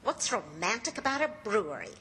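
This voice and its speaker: An actress playing a fond, gently scolding middle-aged wife in a 1940s radio comedy. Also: female voice, medium pitch, sing-song, brisk, slight background noise. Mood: chiding. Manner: folksy, chiding, affectionate.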